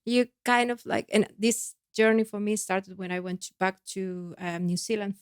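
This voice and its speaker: Dry-sounding woman, reflective and halting. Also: mid-range voice, clean tone, no room sound. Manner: reflective, halting, with many restarts. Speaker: guest singer. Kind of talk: podcast conversation.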